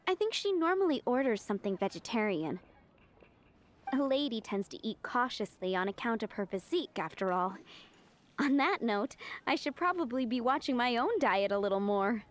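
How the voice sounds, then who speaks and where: A woman's voice: a little high, animated, moderate pace, natural voice. A woman voicing a polite, chatty young restaurant server in a video game. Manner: friendly, polite.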